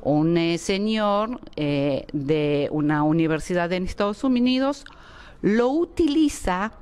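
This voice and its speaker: A woman from Argentina, slowly answering interview questions and often pausing with fillers as she searches for words. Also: on the low side, animated, a little mellow, smooth. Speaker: interviewee, education researcher. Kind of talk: slow, thoughtful interview answers.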